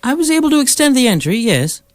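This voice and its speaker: A woman's voice: high voice, big pitch swings, fast talker, no room sound. A woman playing a breezy, knowing alien hitchhiker, matter-of-fact, teasing and reassuring, in comic sci-fi radio play dialogue. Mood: eager, knowing.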